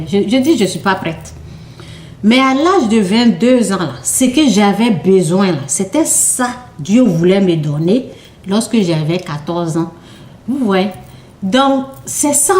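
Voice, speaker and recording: Slow, hesitant woman sharing faith testimony. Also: medium pitch, darkish tone, roomy sound. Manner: hesitant, repeats words, asks "ok?" often. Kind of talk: informal sermon testimony.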